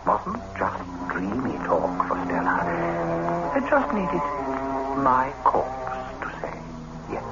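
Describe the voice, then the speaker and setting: A bitter, suspicious husband broods through an old radio thriller. He speaks slowly and theatrically with big swings in pitch. The muffled vintage recording has loud music. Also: wildly swooping pitch, full character voice. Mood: brooding, bitter. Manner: theatrical, sardonic. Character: jealous, brooding husband narrating his revenge, bitter and suspicious. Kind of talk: old-time radio drama acting, first-person narration and dialogue.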